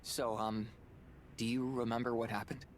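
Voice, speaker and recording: A man's voice: mid-range voice, little pitch movement, normal speed, a little hiss or hum, lightly acted. A man playing an earnest, caring, impulsive young hero in a video game. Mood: gentle, concerned. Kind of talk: video game cutscene acting.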